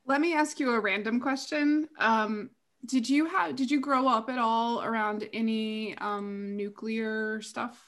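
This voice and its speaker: A woman's voice: a little high, steady tone, unhurried, a bit bright. A woman from Virginia, a podcast guest telling her story openly in easy conversation. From Virginia, USA. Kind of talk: casual conversation.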